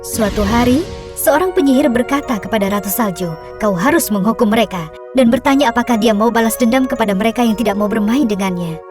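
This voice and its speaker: A woman narrating a scripted children's fairy tale in a measured, expressive storytelling manner. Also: fairly high pitch. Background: music.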